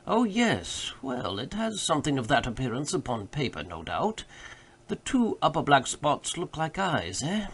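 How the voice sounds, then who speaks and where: A man voicing a proud, eager, easily ruffled gentleman naturalist with emphatic, formal diction in a story reading. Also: on the high side, wildly swooping pitch, unhurried, slightly rough, exaggerated voice. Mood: surprised, musing.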